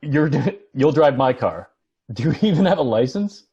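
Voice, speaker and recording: A man's voice: medium pitch, very animated, moderate pace, mellow, husky, muffled recording. A man reading the part of a melancholy, hesitant married man in an understated TV drama table read. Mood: wary, bemused.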